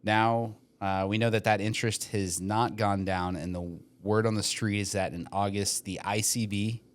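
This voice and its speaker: A man's voice: fairly low pitch, fairly even intonation, normal speed. A young man co-hosting a casual podcast, reading listener questions aloud and cracking jokes between hedged explanations. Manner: joking asides, frequent hedges and fillers. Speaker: podcast co-host, mortgage lender.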